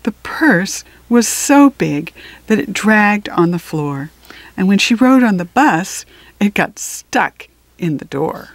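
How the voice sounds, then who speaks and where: A woman reading a children's picture book aloud with expressive phrasing and deliberate pauses. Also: mid-range voice, no room sound. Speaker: storybook reader.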